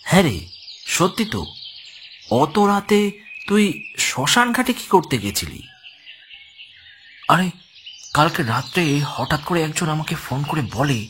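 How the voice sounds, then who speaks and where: A man doing an excitable young reporter. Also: fairly high pitch, measured pace, pauses often, slight background noise. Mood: explaining. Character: excitable young male news reporter, curious.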